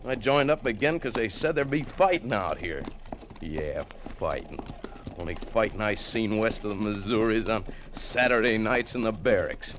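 An actor playing a boastful, nostalgic, grumbling cavalry trooper with rustic, drawling talk in an old radio drama. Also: male voice, lowish voice, moderate pace, a bit bright, natural voice. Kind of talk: 1940s-50s radio acting. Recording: faintly noisy old radio recording.

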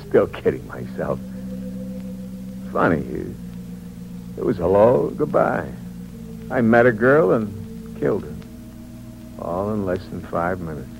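Cold-blooded young American killer, 1940s radio noir. Low voice, animated intonation, terse slang. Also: male voice, takes it slowly, vocal fry, pauses often, exaggerated voice. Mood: grim, confiding. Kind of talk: 1940s radio thriller acting, first-person hardboiled narration. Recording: muffled old radio recording with hum and hiss.

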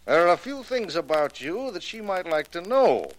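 Curt, swooping crooked boss. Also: male voice, high voice, very animated, moderate pace. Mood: menacing. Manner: curt, threatening. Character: menacing, crooked male boss and guardian. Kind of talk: 1940s radio drama acting.

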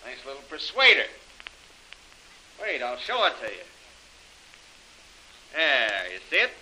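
A man's voice: mid-range voice, wildly swooping pitch, a little slow, pushed and bright, slight background noise, full character voice, poor audio. A snarling tough-guy villain. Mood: threatening. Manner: hard-boiled gangster talk, clipped threats. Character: menacing male villain, tough-talking bully. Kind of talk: 1930s radio acting.

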